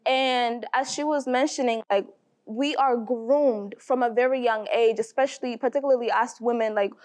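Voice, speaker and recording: A woman in her twenties from Tanzania, a talk show guest who talks in an animated way and searches for her words. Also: a little high. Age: 20s. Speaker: panel guest. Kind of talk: casual discussion.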